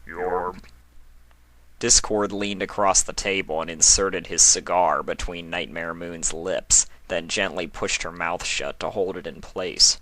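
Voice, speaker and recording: A man's voice: sits low, fairly even intonation, unhurried, hardly any pauses, pushed and bright, no echo. A man reading a story aloud as narrator in a scripted narration style.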